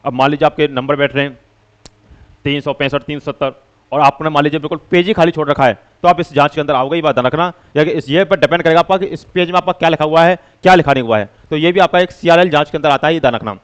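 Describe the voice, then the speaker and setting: A man's voice: on the high side, fast, clear, resonant tone, lo-fi sound. A man giving an informal explainer to students as a coaching teacher, with repetitive, filler-heavy phrasing. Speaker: coaching teacher hosting exam update videos.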